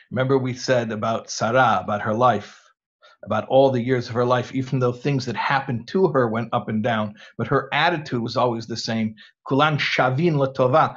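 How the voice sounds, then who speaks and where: A man's voice: medium pitch, highs cut off. A middle-aged man giving an informal religious talk to students, switching into anxious-sounding voices when he acts out worried questions. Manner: animated, mimics anxious self-questioning voices.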